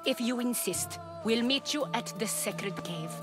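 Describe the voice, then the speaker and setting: A woman's voice: fairly high pitch, animated, measured pace, over loud music. An actress playing a stern, vengeful female rebel leader in a video game, speaking firmly and commandingly. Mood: measured. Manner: commanding, firm. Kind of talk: video game cutscene acting. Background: game score.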